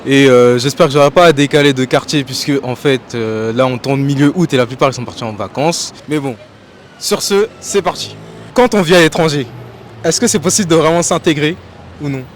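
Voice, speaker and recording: Homme intervieweur de rue, familier. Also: male voice, on the high side, a little fast. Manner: casual, slangy questioning. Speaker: street interviewer. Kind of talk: street vox-pop interview. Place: busy city street outdoors. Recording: street noise behind.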